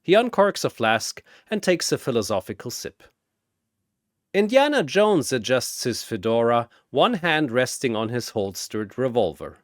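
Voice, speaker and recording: A man narrating a fantasy battle in a bombastic, theatrical style with drawn-out emphasis, like an arena announcer. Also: medium pitch, very animated, no room sound, clean studio sound.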